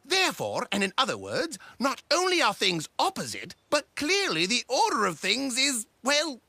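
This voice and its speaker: A woman voicing a fussy, bumbling absent-minded professor with a husky voice. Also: on the high side, wildly swooping pitch, moderate pace, pushed and bright, no room sound, full character voice. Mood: pompous.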